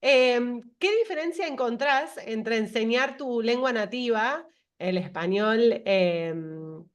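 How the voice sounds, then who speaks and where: Higher-voiced woman hosting a video call. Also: slow. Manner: friendly, frequent backchannel, laughs often. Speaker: interview host. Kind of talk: hosting an online interview.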